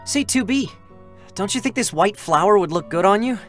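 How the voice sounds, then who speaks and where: A man's voice: high voice, expressive, normal speed, a bit bright. An eager, boyish android scout, light and youthful for a male voice. He talks earnestly and openly, with a hesitant, emotional streak, in a dramatic game-story reading over music. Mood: playful.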